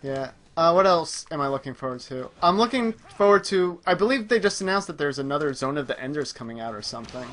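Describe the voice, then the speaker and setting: A man chatting casually as he plays a video game, often distracted and halting as he talks. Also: on the high side, expressive, a bit bright. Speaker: video game streamer playing live. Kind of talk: casual gaming chat while playing.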